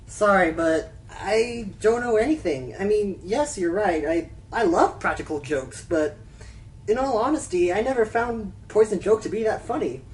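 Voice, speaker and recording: A woman acting as an excitable, scatterbrained prankster pony, flighty and playful, in a cartoonish radio play. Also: mid-range voice, moderate pace, distant mic, lightly acted, poor audio. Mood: offhand.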